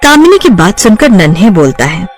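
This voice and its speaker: A woman narrating a horror cartoon story in a lively, dramatic scripted style. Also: medium pitch, normal speed, soft background music.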